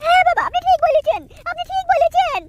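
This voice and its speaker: An actor voicing a scheming, lustful middle-aged village woman in a cartoon, with a shrill, squeaky voice and fast, pleading chatter. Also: dull audio. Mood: eager. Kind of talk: adult cartoon comedy acting. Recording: loud background noise.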